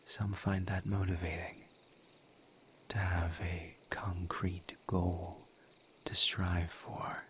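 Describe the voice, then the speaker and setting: A man voicing a gentle, patient misery demon in a breathy, intimate whisper, halting and solemn, for an audio roleplay. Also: low-pitched, little pitch movement, slight background noise. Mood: reflective, somber. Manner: slow, deliberate, halting with long pauses.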